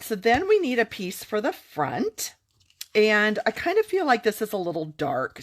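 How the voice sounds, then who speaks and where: A woman chatting upbeat through a live-streamed craft tutorial, thanking viewers and answering comments as she works. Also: on the low side. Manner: upbeat and enthusiastic. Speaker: craft demonstrator.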